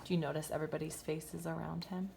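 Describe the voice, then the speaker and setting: A woman kindergarten teacher reading a picture book aloud expressively, pausing to ask young listeners questions. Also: deep voice, flat delivery, creaky, warm tone, slight background noise. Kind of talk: expressive children's storytime read-aloud with asides.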